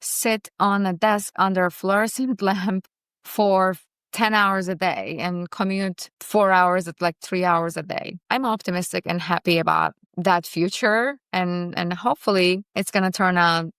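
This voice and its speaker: Smooth-voiced woman. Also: lowish voice, steady tone, fairly bright tone, no echo, studio quality. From Turkey. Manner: earnest, optimistic, speaks in short phrases with pauses. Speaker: vlogger reacting to a podcast. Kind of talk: casual video commentary.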